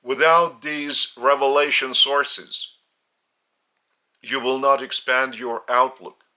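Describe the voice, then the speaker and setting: A man with a Lithuanian accent, a spiritual teacher speaking in short halting phrases with restarts. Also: medium pitch, fairly even intonation, pushed and bright.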